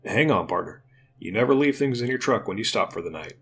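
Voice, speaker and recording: A man acts out a gruff but tender uncle in an audio drama. He is earnest, folksy and plainspoken, with gravel in his voice. Music plays faintly behind him. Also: on the low side, animated, fairly quick, a bit bright. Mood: instructing.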